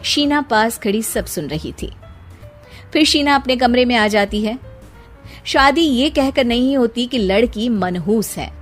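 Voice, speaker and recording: A woman reading a scripted story as its storyteller. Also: a little high.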